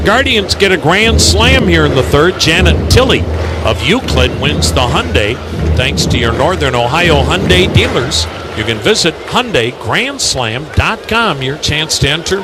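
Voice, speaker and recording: A man announcing live baseball play-by-play on the radio. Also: fairly high pitch.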